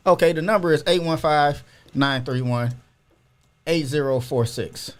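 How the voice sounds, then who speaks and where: A man's voice: on the high side, a little slow. A man hosting a casual podcast, talking loosely and opinionated with lots of slang. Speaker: podcast host.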